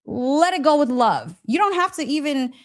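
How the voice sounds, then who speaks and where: A woman's voice: high-pitched, very animated, normal speed. A woman hosting a casual motivational storytelling live stream, speaking in a gushing, emphatic, chatty way, repeating phrases and addressing listeners directly. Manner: chatty, direct address with frequent check-in questions. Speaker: host of a live story session, spiritual teacher.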